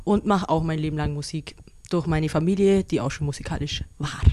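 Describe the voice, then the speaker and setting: A woman in her twenties from Augsburg, a musician answering interview questions in a lively, giggly way. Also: deep voice, fairly quick, heavy background noise. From Augsburg, Germany. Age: 20s.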